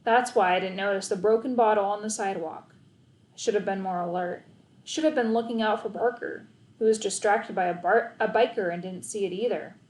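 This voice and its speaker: A woman narrating a children's novel aloud in an expressive, storytelling style. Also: medium pitch, a little fast, echoey room, low-quality recording. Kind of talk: expressive children's audiobook narration, first person.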